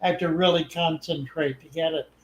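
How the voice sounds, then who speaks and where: An older man hosting a live stream, chatting in a slow, unhurried drawl. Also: a little high, fairly even intonation, a crowd in the background. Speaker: host. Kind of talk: casual live stream chat. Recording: echoey room.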